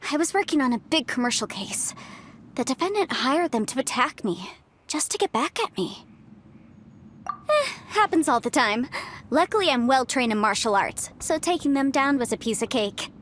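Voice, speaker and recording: A woman voicing a bright, confident young legal adviser and fighter in a video game, chatty and theatrical. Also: high-pitched, animated, moderate pace, slight background noise. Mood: breezy, confident. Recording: game music behind the voice.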